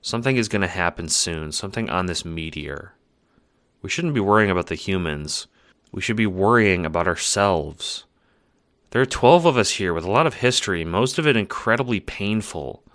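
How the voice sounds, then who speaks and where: A man voicing a calm, weary troll girl who died, speaking in a flat, deadpan way in a fan audio drama. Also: deep voice, moderate pace, dry recording, clean studio sound.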